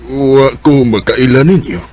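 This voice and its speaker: A man's voice: medium pitch, measured pace, a little mellow, close to an everyday voice. An actor playing an affectionate, weary aging husband and father in a Bisaya radio drama, with a Filipino accent. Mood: dazed. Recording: noisy radio drama with background ambience.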